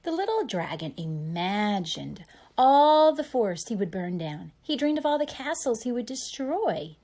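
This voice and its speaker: A woman reading a picture book aloud to young children with deliberate, dramatic emphasis. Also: on the high side, big pitch swings, dark tone.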